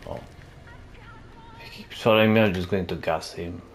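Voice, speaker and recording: A man's voice: low voice, fairly even intonation, normal speed, faint music behind. A man giving casual gameplay commentary, muttering and swearing at the game. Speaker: gaming youtuber.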